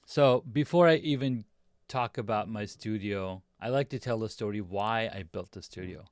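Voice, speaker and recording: A middle-aged man with a lower-than-usual, smooth and resonant voice, from Pakistan, Saudi Arabia and the US. He tells his story in an upbeat, chatty way, now and then restarting a phrase. The sound is dry and close, with little room in it. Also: normal speed, dry recording. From grew up in Pakistan, Saudi Arabia and the US. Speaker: guest, designer and videographer. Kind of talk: casual storytelling on a video podcast.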